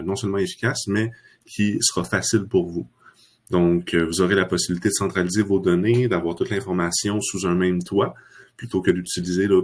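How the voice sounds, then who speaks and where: Deep-voiced man explaining calmly. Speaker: customer success manager. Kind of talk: webinar presentation.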